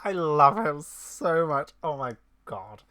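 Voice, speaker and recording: A woman's voice: sits low, very animated, slow pace, pushed and bright, dry recording, studio quality. A woman streaming a game with casual reactions, gushing and drawing out her words for emphasis.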